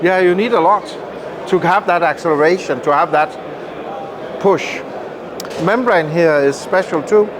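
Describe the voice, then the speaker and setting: Male product maker, somewhat high pitch, emphatic technical explainer, loud show-floor noise behind. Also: unhurried, pauses often. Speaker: loudspeaker maker, interview guest. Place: indoor audio trade show room.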